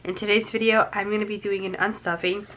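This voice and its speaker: A woman's voice: medium pitch, little pitch movement, fast, a bit bright, a little hiss or hum, poor audio. A young woman with a stuffy nose from a cold, chatting casually to camera and counting cash aloud with frequent ums. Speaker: budgeting vlogger.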